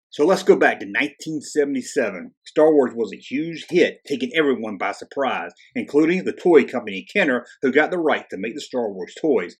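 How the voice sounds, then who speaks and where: A man with a husky, unpolished voice narrates as the host of a video channel. His delivery is casual and conversational, as if following a loose script. He drops in sarcastic, wisecracking asides as he goes. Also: mid-range voice.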